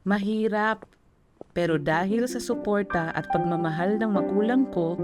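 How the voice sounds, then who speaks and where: A woman voicing a kind, reassuring aunt with a Filipino accent, gentle and conversational, in an animated short film. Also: on the low side, natural voice. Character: kind, reassuring aunt, born with cleft palate. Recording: music behind the voice.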